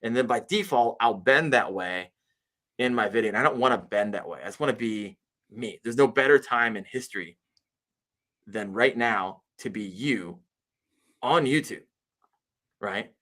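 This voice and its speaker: A man's voice: mid-range voice, normal speed, long pauses, bright, forceful tone. A man guest on a live podcast, talking earnestly and emphatically in a coaching way about making videos. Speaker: podcast guest, kayak fishing YouTuber. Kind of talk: casual live podcast interview.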